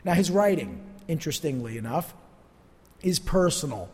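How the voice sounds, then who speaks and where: Male pastor, high voice, earnest emphatic sermon, long church reverb. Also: big pitch swings. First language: not Spanish. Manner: earnest, emphatic, preacherly with pauses. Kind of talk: sermon teaching. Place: church sanctuary.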